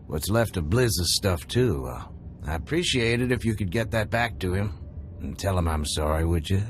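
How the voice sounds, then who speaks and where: Folksy, expressive working-class salvager. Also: male voice, deep voice, moderate pace, slight background noise. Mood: grateful. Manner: folksy, drawling, earnest. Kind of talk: video game character acting. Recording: music behind the voice.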